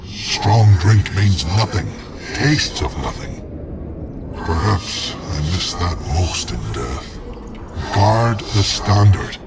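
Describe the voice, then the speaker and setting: An actor voicing the grave, weary ghost of a slain army standard-bearer in a video game, speaking formally. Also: male voice, low-pitched, animated, takes it slowly, gravelly, heavy background noise, exaggerated voice. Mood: weary, wistful.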